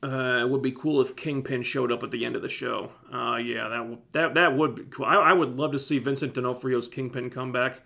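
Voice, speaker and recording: Guy on a livestream, scratchy phlegmy throat, rambly laid-back chat, average pitch. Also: a little fast, gravelly, hardly any pauses. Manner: relaxed, rambling, self-interrupting. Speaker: livestream host reviewing TV shows. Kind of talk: casual livestream chat answering viewers.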